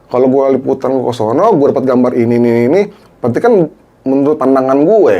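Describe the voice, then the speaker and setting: Man, middle-aged, casual storytelling, slangy, acts out dialogue, mid-pitched voice. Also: fast talker, vocal fry. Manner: animated, acts out quoted dialogue, Jakarta slang. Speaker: guest, former TV cameraman.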